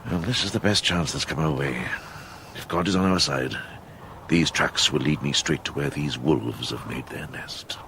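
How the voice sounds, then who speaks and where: Very deep-voiced shrewd old monk. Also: male voice. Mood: firm. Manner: measured, deliberate, thinking aloud. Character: shrewd medieval monk investigator, calm and authoritative. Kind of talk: British radio drama acting. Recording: clear background ambience noise.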